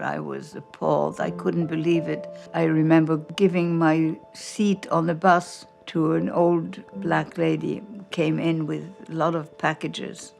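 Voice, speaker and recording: Slow, gravelly older woman recalling. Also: low-pitched, a little mellow. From Austria. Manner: slow, reflective storytelling. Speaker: interviewee. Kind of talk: documentary interview recollection.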